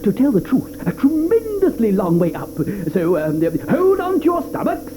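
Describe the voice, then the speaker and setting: A woman's voice: sits high, huge pitch swings, moderate pace, background noise. An actress playing an eccentric, fussy grown man who is theatrical and stammers when flustered, in a studio radio play with music underneath. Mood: breezy.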